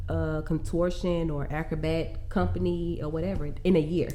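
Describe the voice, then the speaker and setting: A woman, chatting candidly as an interview guest, animated and laughing often. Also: deep voice, moderate pace, slight background noise. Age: 20s. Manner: animated, laughs often, repeats phrases for emphasis. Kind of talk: candid casual interview chat.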